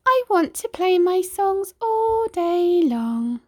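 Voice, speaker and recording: A woman voicing a small female magic radio with a high, thin, whining voice. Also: sing-song, takes it slowly, no room sound, studio quality, heavily acted. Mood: pleading.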